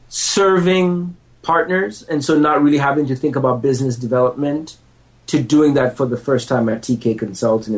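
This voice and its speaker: A man's voice: mid-range voice, low-quality recording. A man in his thirties from the United States, a lawyer and entrepreneur talking through his background as a podcast guest, self-deprecating and prone to restarts. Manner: self-deprecating, laughs easily, rambling restarts. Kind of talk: relaxed conversational interview. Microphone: handheld microphone.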